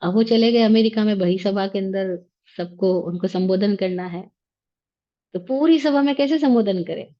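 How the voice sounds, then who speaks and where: A woman's voice: medium pitch, moderate pace. Woman scripture teacher, lecture, chatty and questioning, slightly muffled lo-fi sound. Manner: conversational, rhetorical questions, mixes English phrases. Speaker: Jain religious teacher.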